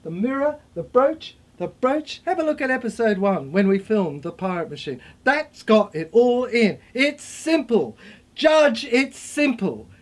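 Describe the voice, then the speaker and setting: A speaker with a medium-pitched voice rambles in an intense monologue. Phrases come in short, repeated fragments with some stammering, and the delivery tends to build in force. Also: sing-song, a little slow. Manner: intense, repetitive, fragmented, building to shouted declarations.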